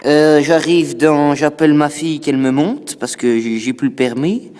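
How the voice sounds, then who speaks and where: A man voicing a stubborn seventy-year-old country angler on a prank call, halting, repeating himself and rambling insistently. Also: a little high, darkish tone, natural voice. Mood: stubborn, excited. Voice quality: halting, repetitive speech. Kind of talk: prank phone call in character. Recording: phone prank with long reverb.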